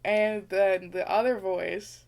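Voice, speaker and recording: A woman's voice: medium pitch, steady tone, takes it slowly, gravelly, background noise. A young woman co-hosting a podcast in casual chat, with a slight lisp, mumbling a little and laughing often. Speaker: podcast co-host.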